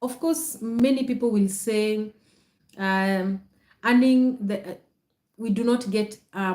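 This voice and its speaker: Slow-talking Kenyan woman, echoey livestream. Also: mid-range voice. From Nakuru, Kenya. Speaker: content creator hosting a livestream. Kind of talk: casual livestream chat to viewers.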